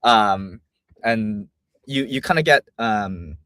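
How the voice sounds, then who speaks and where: A young man from Vietnam, speaking with an American accent as a guest on an interview show. His low-pitched voice is recorded dry and close, with no room around it. He rambles comfortably, with plenty of "um" and "like" between thoughts. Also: takes it slowly, no echo. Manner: relaxed, many fillers like "um" and "like". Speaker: guest, company founder. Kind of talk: casual interview chat.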